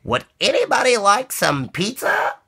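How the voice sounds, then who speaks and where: A man plays a busy, fussy storybook hen, a female with a high voice. The delivery is bright and singsong, with exaggerated drawn-out calls that swoop up and down. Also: huge pitch swings, gravelly, breathy edge, heavily acted. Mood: cheery calling out.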